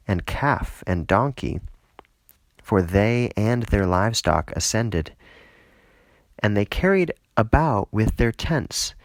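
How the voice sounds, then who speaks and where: A man reading scripture aloud as an audiobook narrator, measured and solemn, lifting into emphasis for quoted speech. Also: lowish voice, no echo. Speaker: audiobook reader.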